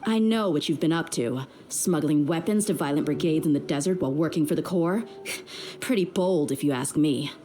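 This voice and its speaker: A woman's voice: sits low, moderate pace, dark tone, slight background noise, natural voice. An actress playing a tough young woman mercenary in a video game, blunt and world-weary, with a guarded, hurt edge. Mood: confident, taunting. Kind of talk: dramatic video game dialogue.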